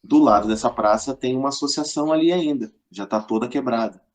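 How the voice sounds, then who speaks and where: Middle aged guy w southern brazilian accent, medium pitch voice, podcast guest just telling anecdotes, super casual and slangy. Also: a little fast. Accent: southern Brazilian, Rio Grande do Sul.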